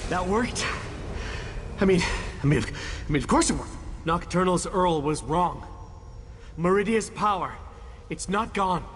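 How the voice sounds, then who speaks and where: Selfless fantasy game hero, earnest n solemn, kinda high voice, big hall reverb. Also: male voice, expressive, a little slow, pauses often, slight background noise. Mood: awed resolve. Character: earnest male champion, selfless, resolute in sacrifice. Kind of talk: fantasy video game voice acting.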